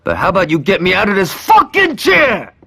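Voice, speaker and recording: An actor playing a brash, sarcastic, violent gang lieutenant in a video game cutscene, foul-mouthed and cocky. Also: male voice, high voice, wildly swooping pitch, brisk, vocal fry, pushed and bright, breathy edge, full character voice. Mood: impatient, brash.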